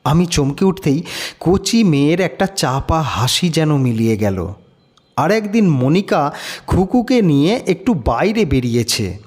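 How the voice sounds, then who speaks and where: A man narrating a scripted horror story in a dramatic, measured, suspenseful way. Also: on the high side.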